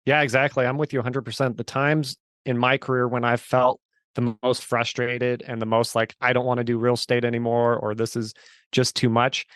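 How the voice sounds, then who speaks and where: A man just turned forty, speaking at a middle pitch in an earnest, emphatic storytelling manner. His voice is recorded close and dry, with no room sound. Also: level tone, fairly quick, a bit bright, no room sound. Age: 40s. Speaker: guest. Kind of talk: casual interview chat.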